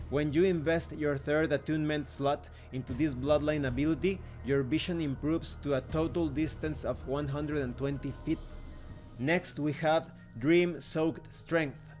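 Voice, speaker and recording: A man's voice: a little high, a little mellow. A man hosting a review video, narrating from a script in an explanatory style. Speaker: video host, game reviewer.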